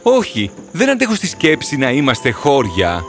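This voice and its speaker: A man's voice: mid-range voice, wildly swooping pitch, fairly bright tone. Earnest, sorrowful horse storyteller played by a man. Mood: tender, grieving. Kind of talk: storybook cartoon narration. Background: music.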